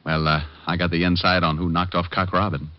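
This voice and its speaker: A man's voice: deep voice, little pitch movement, brisk, natural voice. A man playing a wisecracking private eye. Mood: joking. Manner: dry wisecracks, laconic. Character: wisecracking tough private detective, adult man. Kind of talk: 1950s radio drama acting and narration. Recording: dull old radio recording.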